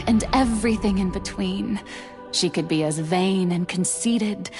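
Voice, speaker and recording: A woman's voice: mid-range voice, a little mellow. A woman voicing an elegant, self-assured goddess in a video game, with refined, theatrical, grandiloquent diction and a playful yet wistful temper. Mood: wistful, tender. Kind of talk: video game character monologue. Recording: background music under studio voice.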